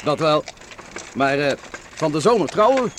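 An actor playing a young country cart driver in a Dutch radio play, jovial, folksy, teasing and gossipy. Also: male voice, fairly high pitch, sing-song, normal speed, background noise. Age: young adult.

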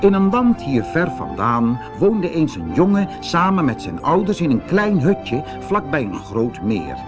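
A man narrating a scripted fairy tale for an audiobook in an expressive, dramatic storytelling manner, with a husky voice. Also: a little high, a little slow, over music.

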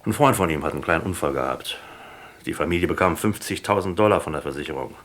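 A shrewd detective in a German radio crime play, with a low voice. He takes his time and probes with a sly, casual air. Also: fast, fairly bright tone. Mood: sly, needling. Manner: probing, slyly casual questioning. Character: shrewd, unhurried male American detective questioning suspects.

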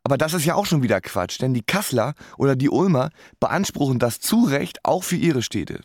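A man's voice: medium pitch, lively intonation, brisk, dry recording, studio quality, lightly acted. An actor playing a self-deprecating young man stuck in life, narrating his story in a radio drama with wry self-mockery. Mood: wry, resigned. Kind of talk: first-person scripted radio drama narration.

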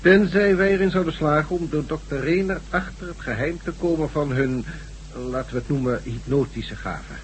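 An actor playing a courteous, formal police inspector in a Dutch science-fiction radio drama. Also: male voice, on the high side, lightly acted. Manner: polite, formal, measured wording. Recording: noisy, humming old radio recording.

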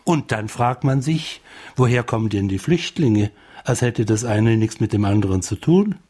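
A man's voice: fairly low pitch, animated, normal speed, warm tone. A man giving informal commentary, retelling a story in a colloquial, wry manner. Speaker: commentator retelling and interpreting the Gospel.

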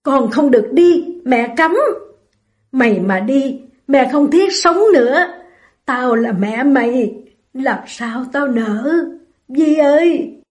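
A woman's voice: on the high side, a little slow, darkish tone, lightly acted. A woman voicing a poor aging mother, anguished and loving, who talks in a pleading, tearful way. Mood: stern.

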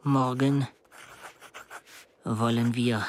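A sober, cautious travelling companion in a radio drama. He speaks slowly at a middling pitch. Clear ambient noise runs behind him. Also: steady tone. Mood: solemn. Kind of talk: German radio play acting.

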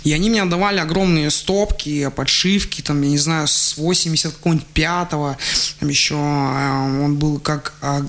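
A man, a guest on a radio show, telling childhood stories in a relaxed way, laughing often and restarting his sentences. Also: a little high, normal speed. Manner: chatty, laughs often, many fillers and restarts. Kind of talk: relaxed radio interview storytelling. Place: radio studio. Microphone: studio microphone.

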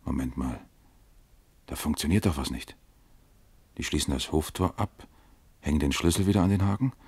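An actor playing a calm East German police detective in a crime radio play, terse and matter-of-fact. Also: male voice, rumbling low, normal speed, breathy edge, no room sound, exaggerated voice. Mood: calm, watchful.

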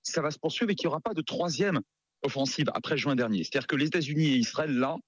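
A man giving live radio analysis as a geopolitics expert, emphatic but halting, repeating words as he searches for the next. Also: a little high, no echo.

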